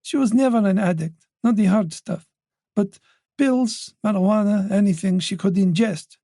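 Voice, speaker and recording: A man voicing an older mother who talks quickly and insistently. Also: sits high, creaky, dark tone, no echo, studio quality, pitched above the performer's own voice. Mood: earnest. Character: worried older French mother, forthright and talkative.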